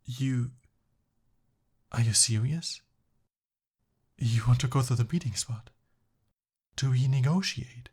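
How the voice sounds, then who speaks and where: A man acting an earnest, lovestruck young prince in a romantic ASMR roleplay, with halting, emotional, pleading and easily flustered delivery. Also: mid-range voice, dark tone, slightly rough.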